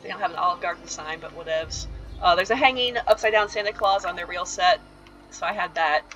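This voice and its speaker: A woman's voice: fairly low pitch, a little fast, fairly bright tone, highs cut off, faint music behind. A woman giving a rambling, casual voice-over tour of a house built inside a video game, full of ums and side remarks. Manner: chatty and rambling, with frequent ums and asides.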